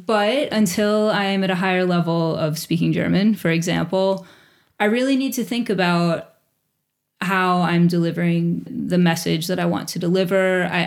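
A woman's voice: fairly low pitch, vocal fry. A woman in her forties, a teacher who speaks English as her first language, answering interview questions in a reflective way with small restarts. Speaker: teacher at a bilingual school.

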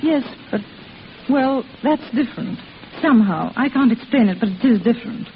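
A woman's voice: on the high side, animated, normal speed. An actress playing an eager, lovestruck young woman in a 1940s radio drama, breathless and earnest. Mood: flustered. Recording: tinny, noisy old radio recording.

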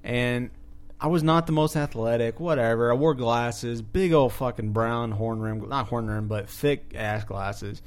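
A man's voice: medium pitch, measured pace, clean tone. Man, mid-range voice, podcast co-host, casual banter, crude and self-deprecating.

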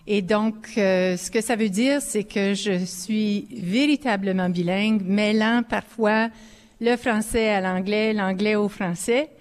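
A woman from northern Ontario speaks as a senator on a panel, measured and deliberate. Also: medium pitch. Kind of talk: panel discussion. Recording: reverberant hall, faint noise.

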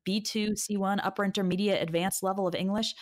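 A woman's voice: medium pitch, fairly even intonation, fast. A woman who works as an online English teacher, talking conversationally in an interview.

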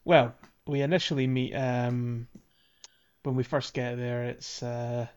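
A man's voice: mid-range voice, little pitch movement, slow pace, darkish tone, smooth, highs cut off. A man co-hosting a casual gaming podcast, often hesitant and searching for words as he chats. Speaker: podcast co-host.

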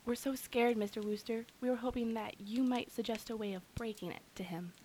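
A woman plays a timid, polite young fiancée in a radio comedy. Her voice is fairly high and comes through a slightly noisy, clicky recording, set back from the mic. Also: steady tone, a little fast, dark tone, natural voice.

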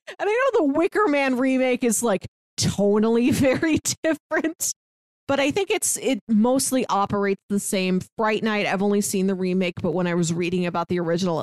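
A woman's voice: mid-range voice, no echo. Chatty woman with a dry close sound. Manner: chatty, animated, laughs while talking. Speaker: podcast co-host. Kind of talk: casual movie review chat.

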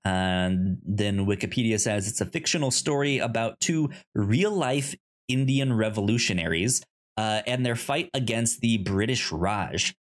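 A podcast co-host chatting casually and pitching movies in a halting way, often stammering and repeating syllables.

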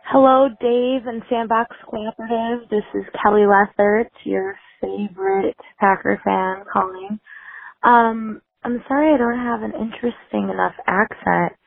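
A woman with a Wisconsin accent leaving an earnest, halting voicemail with frequent pauses and ums. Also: mid-range voice, steady tone, creaky. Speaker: caller. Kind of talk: voicemail message. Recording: tinny phone voicemail line.

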